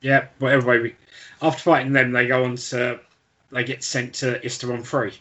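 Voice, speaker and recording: Guy cohosting a book podcast, average pitch, just casually chatting through it. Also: little pitch movement, normal speed, pushed and bright, lo-fi sound. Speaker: podcast co-host.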